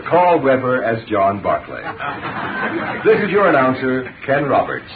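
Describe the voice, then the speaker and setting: A man's voice: mid-range voice, very animated, unhurried. A man announcing a 1930s radio show in a formal, polished scripted style. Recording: tinny, noisy 1930s radio recording.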